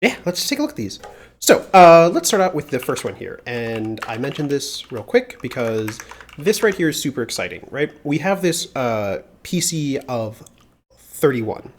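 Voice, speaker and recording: A slightly congested man chatting casually on a live coding stream, thinking aloud with plenty of filler pauses, into a mounted mic at a home desk. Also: mid-range voice, sing-song. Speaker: livestreamer doing security research. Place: home desk with mechanical keyboard. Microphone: mounted desk studio mic.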